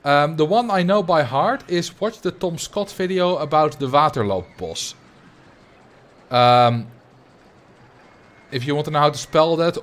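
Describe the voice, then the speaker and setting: A man from the Netherlands with a noticeable but mild Dutch accent, a native Dutch speaker chatting casually and in a rambling way as he streams a game. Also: on the high side. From Netherlands, grew up in Drenthe. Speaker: video game livestreamer.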